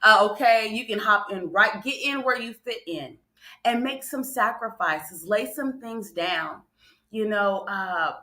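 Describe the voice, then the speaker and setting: A woman's voice: mid-range voice, animated, measured pace, pushed and bright. A woman giving a motivational sermon in numbered points, emphatic and repeating phrases for stress, with conversational asides to her listeners. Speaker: motivational speaker and preacher on a morning show. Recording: roomy, echoing livestream sound.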